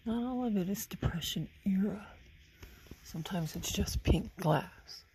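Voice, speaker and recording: Hoarse, gravelly woman vlogging on a phone, half-whispering low-key remarks over a noisy shop floor. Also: a little slow, darkish tone. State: hoarse from the flu, losing her voice. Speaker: vlogger browsing an antique mall. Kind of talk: casual running commentary while shopping. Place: large indoor antique mall in a former bowling alley. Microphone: handheld phone camera. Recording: noisy shop ambience behind phone audio.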